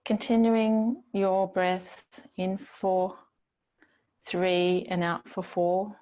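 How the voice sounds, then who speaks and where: A woman yoga teacher speaks very slowly at a medium pitch, gently guiding breath and body awareness. She leaves long, soothing pauses between phrases. The recording sounds slightly dull and lo-fi. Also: level tone. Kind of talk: guided yoga and relaxation instruction.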